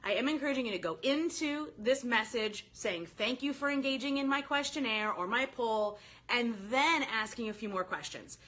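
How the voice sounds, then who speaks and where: Woman doing live stream sales training, kinda high bright forceful voice, chatty and animated, talks right to viewers. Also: moderate pace. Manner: animated, informal, addresses listeners directly, quotes sample phrases. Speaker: sales coach hosting a live online training. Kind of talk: informal live-stream coaching, chatting with commenters.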